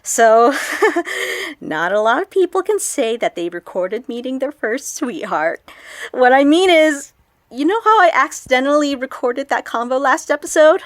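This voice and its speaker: Excitable, high-voiced young vlogger girl. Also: measured pace, dry recording, studio quality. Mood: giddy, excited. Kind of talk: audio drama acted as a confessional vlog.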